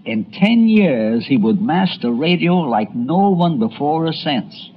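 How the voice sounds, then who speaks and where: A man's voice: a little high, very animated, takes it slowly. A man narrating a scripted documentary as host, in a folksy, dramatic storytelling manner. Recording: muffled, noisy old tape.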